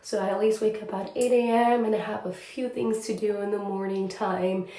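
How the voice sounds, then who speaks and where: A young woman who is a news reporter, chatting casually in a vlog, a bit congested and raspy from a cold, with many filler words. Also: mid-range voice, fairly even intonation, moderate pace, warm tone. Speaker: TV news reporter vlogging her day. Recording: echoey room.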